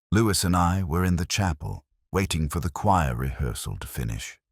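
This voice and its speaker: A man voicing a weary, erudite police inspector who recounts a case in measured, literary first-person narration. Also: rumbling low, no room sound, clean studio sound, exaggerated voice. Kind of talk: scripted first-person detective story narration.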